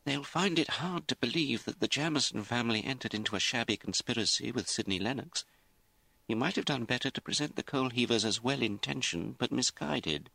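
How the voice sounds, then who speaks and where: Shrewd storybook lawyer, voiced by a male narrator, measured, gently resigned, pitched up, dry recording. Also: lively intonation, creaky, no echo, full character voice, low-quality recording. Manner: measured, reasoning. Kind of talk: audiobook character acting.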